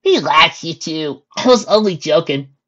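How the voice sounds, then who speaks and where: A woman voicing a brash, teasing young female pony in a storybook reading, loud and cheeky. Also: low-pitched, animated, unhurried, dull audio, pitched above the performer's own voice, exaggerated voice. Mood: casual. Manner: loud, cheeky, drawling for emphasis.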